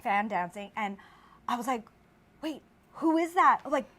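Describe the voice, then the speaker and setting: A woman from the Philippines hosting an interview, enthusiastic and animated as she tells a story. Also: a little high, measured pace. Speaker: host. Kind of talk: casual interview.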